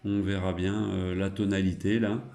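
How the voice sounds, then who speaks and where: A man's voice: deep voice, little pitch movement, normal speed, a little mellow. A middle-aged man chatting casually and colloquially as an amateur radio operator. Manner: chuckling, colloquial, trailing tag words. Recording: roomy, echoing room sound.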